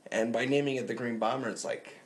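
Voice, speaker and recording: A man in his twenties with a nasal voice, co-hosting a casual podcast and trading deadpan quips. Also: medium pitch, fast. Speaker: podcast co-host.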